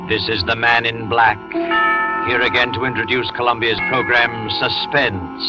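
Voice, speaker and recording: A man hosting and narrating a 1940s radio drama in a measured, ominous, theatrical way. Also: mid-range voice, flat delivery. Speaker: host and narrator. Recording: dull old radio broadcast with music.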